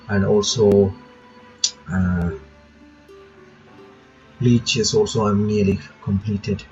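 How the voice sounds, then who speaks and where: A sleepy man hosting a reaction video, rambling casually and reading game text aloud. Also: on the low side. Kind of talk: casual commentary while reading a visual novel aloud. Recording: dull lo-fi recording with game music.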